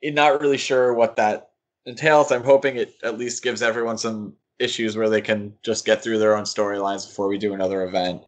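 A man's voice: lowish voice, fairly even intonation, a little fast. A man co-hosting a podcast, giving critical opinions in a casual discussion of comics. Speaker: podcast co-host.

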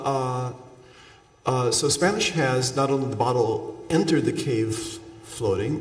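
A man delivers an academic lecture in a halting, explanatory way, often breaking off to find the right word. His voice sits at an average male pitch. It is picked up from a distance in a large, echoing hall with long reverb. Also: creaky. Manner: halting, searching for words, explanatory asides. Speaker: linguistics lecturer. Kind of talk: academic lecture with example sentences. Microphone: far from the speaker. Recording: echoey hall, distant microphone.

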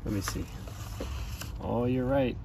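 A man's voice: mid-range voice, takes it slowly, creaky, dark tone. A middle-aged man chatting casually as he unboxes a product, patiently prompting with questions. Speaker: father reviewing a product with his child. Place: outdoors on a grass lawn. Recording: outdoor handheld recording, distant, noisy ambience.

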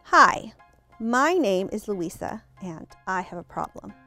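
A woman voicing a sensitive young schoolgirl in a children's storybook, with expressive delivery. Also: mid-range voice, slow, soft background music, exaggerated voice. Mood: troubled. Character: young schoolgirl, sensitive, growing cheerful and confident.

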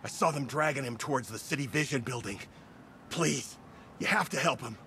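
An actor playing a rattled firefighter in a video game, urgent and earnest. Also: male voice, mid-range voice, moderate pace, sharp tone, slight background noise. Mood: urgent, shaken. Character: rattled, urgent male firefighter who escaped a crash.

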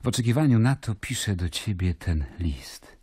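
An actor playing a stern, brooding Roman governor in an audio drama, solemn and commanding. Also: male voice, fairly low pitch, expressive, normal speed, warm tone, husky. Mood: grave.

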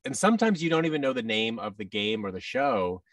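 An American man in his thirties chatting casually as an interview guest, in a halting, self-effacing manner. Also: mid-range voice, very animated, a bit bright, no room sound, recorded from a distance. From United States. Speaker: guest, voice actor. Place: office room indoors, video call. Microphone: boom microphone and headset on a video call.

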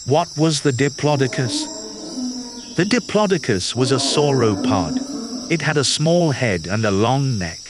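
A man reading a children's nonfiction picture book aloud in a clear, patient, teacherly way. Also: mid-range voice, expressive, measured pace, background noise. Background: background music.

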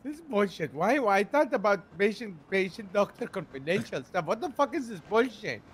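A man's voice: high-pitched, sing-song, fairly quick, a little hiss or hum. A man playing a defensive, hapless crook in improvised game role-play, protesting with indignant, swooping emphasis.

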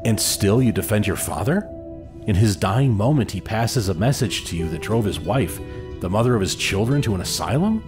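Interviewer, male actor, audio drama: deep, pointed, skeptical, pressing, music underneath. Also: animated, normal speed, lightly acted. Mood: accusing. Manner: pointed questioning.

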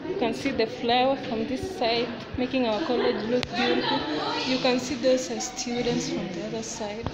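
Young woman, casual vlog tour, slightly high voice, phone mic, loud crowd chatter behind. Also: steady tone, moderate pace, loud music behind the voice. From Kenya. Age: young adult. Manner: addresses viewers as guys, chatty and repetitive. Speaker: student vlogger. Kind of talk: casual walking tour narration. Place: college corridors and classrooms, indoors. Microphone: handheld phone camera. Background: noisy crowd of students.

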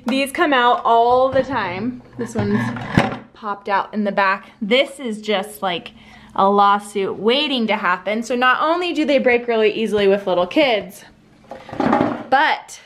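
A woman's voice: fairly high pitch, background noise. A woman in her thirties chatting casually to viewers as she vlogs in her home, asking them questions in a conversational way. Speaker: vlog host. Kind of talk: casual chat to camera while cleaning. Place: inside a home, kitchen and laundry area. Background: background music.

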